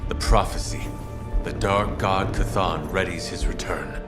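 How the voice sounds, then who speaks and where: Deep-voiced grim male prophet, slow, solemn, game cutscene, loud music. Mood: solemn, ominous. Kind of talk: dramatic video game acting.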